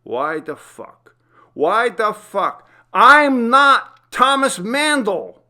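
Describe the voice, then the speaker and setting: A man's voice: very high voice, very animated, extremely slow, sharp tone, pitched above the performer's own voice, heavily acted. A man voicing a frantic, desperate prisoner who pleads emphatically in a storybook reading. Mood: panicked, screaming. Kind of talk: audiobook character acting.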